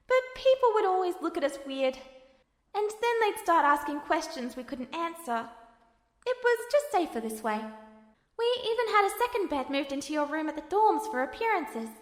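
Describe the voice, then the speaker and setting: A woman voicing a gentle, earnest young boy whose soul lives in a suit of armor, speaking hesitantly in a story reading. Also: very high-pitched, echoey room, in a large hall, pitched above the performer's own voice. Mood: gentle, amused.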